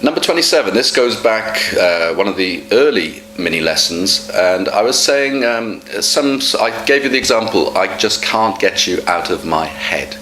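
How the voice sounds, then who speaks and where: A man teaching English in a relaxed, casual spoken lesson, humming the gaps for learners to fill. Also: on the low side, normal speed, few pauses, soft background music, poor audio. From Wales.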